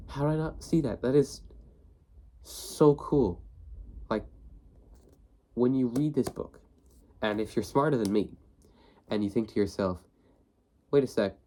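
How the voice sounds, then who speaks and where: Low-voiced young man with a cold. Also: warm tone. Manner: rambling, many fillers and restarts. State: has a cold, sniffly. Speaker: book video host. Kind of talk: casual talk to camera.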